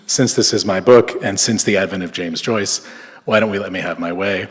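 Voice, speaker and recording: A man from Southern California reading an academic conference paper in a measured way, with frequent filler hesitations. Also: deep voice. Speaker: conference speaker. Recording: echoey lecture hall.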